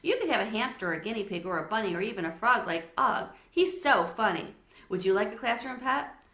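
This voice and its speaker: Woman playing a bright, chatty young schoolgirl. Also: a little high, lively intonation, a little fast, reverberant. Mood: excited. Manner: chatty, earnest, gushing. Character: talkative, lonely, imaginative young schoolgirl.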